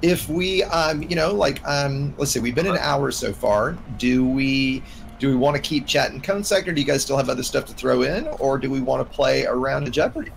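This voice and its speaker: A man's voice: mid-range voice, moderate pace, creaky. A man from the United States chatting casually as a live streamer while playing a game. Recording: noisy gaming voice chat.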